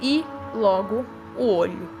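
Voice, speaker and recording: A woman's voice: mid-range voice, slow pace. A young woman with a Brazilian accent giving casual, speculative commentary on a horror series in an informal, conversational way. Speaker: video creator. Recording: voice-over with music behind it. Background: background music.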